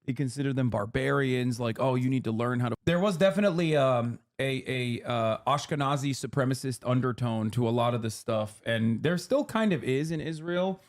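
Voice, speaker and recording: A man in his thirties explains politics on a casual livestream. He talks in quick, lecturing bursts full of fillers and restarts. His voice sits at an ordinary middle pitch. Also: animated.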